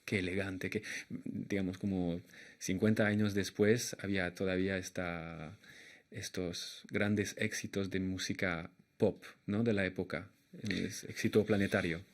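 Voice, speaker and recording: A young man with a slight French accent, a jazz pianist answering interview questions in a hesitant way, often pausing and restarting as he looks for words. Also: low voice, flat delivery. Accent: slight French accent in Spanish. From Argentina and France.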